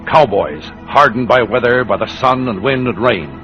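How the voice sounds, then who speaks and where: A male announcer narrates in a dramatic old-time radio style, in a mid-range voice. Organ music plays clearly behind him, and the broadcast sounds dull and muffled. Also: medium pitch, expressive, moderate pace.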